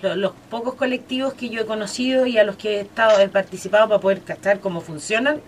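A woman's voice: medium pitch, quick pace, a little hiss or hum. A young woman with a Chilean accent hosting a radio talk show, chatting casually with guests, full of fillers and restarts. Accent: Chilean Spanish. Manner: hesitant, many fillers and restarts. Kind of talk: casual interview chat.

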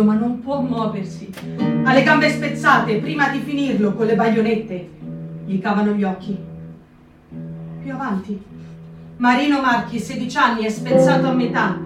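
Woman narrator, high-pitched, grave and measured. Far mic, echoey room, loud guitar bed. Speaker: reader in a performed reading. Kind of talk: dramatic recitation. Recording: roomy radio broadcast from a distant mic. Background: loud guitar music.

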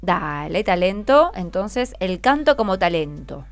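A woman's voice: lowish voice, big pitch swings, measured pace. Argentine woman hosting radio over faint music. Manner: encouraging, prompting with short questions. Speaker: radio host interviewing schoolchildren. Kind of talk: unscripted radio interview.

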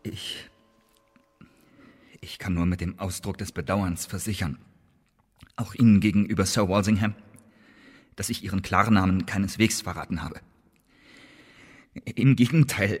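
A male actor playing an accused spy in a German radio play, earnestly and stubbornly protesting his innocence in formal, emphatic words with a husky voice. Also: lowish voice, moderate pace, pauses often. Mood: pleading, hesitant. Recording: reverberant hall-like radio drama room.

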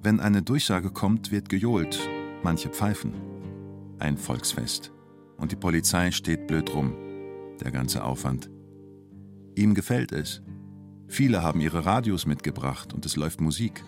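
An actor playing a reflective narrator of a police siege, speaking in terse, understated observations for a radio drama. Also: male voice, deep voice, animated, a little slow, long pauses, music in the background. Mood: pensive observing. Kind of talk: literary radio drama narration in present tense.

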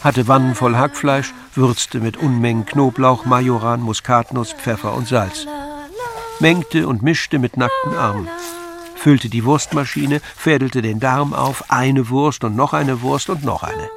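A man's voice: mid-range voice, unhurried, a crowd in the background. A man reading scripted literary narration as the narrator of an audio drama. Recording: clear music bed behind voice.

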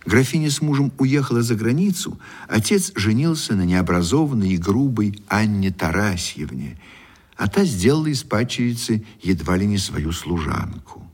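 An actor playing a sympathetic storyteller, narrating a literary radio drama in a measured, expressive way. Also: male voice, fairly low pitch. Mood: sympathetic, wistful. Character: sympathetic male storyteller of a sad tale. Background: soft guitar music.

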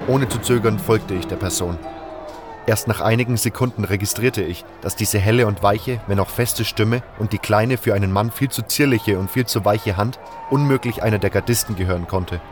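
A man's voice: fairly low pitch, moderate pace, over music. A man reading scripted first-person narration for a fantasy fan audio drama.

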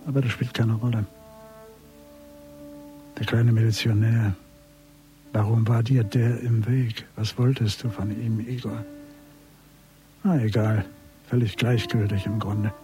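An actor playing a brooding avenger, detached and deliberate, speaking his cold thoughts in a radio play. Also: fairly low pitch, level tone, mellow, natural voice. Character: brooding male avenger, coldly indifferent to death. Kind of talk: radio play interior monologue. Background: music with French horn.

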